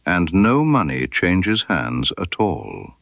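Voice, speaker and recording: A man voicing a cool, knowing woman informant in a mystery story, speaking softly and deliberately with guarded amusement. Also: low-pitched, huge pitch swings, creaky, no echo, lo-fi sound, heavily acted. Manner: soft, deliberate, veiled warnings.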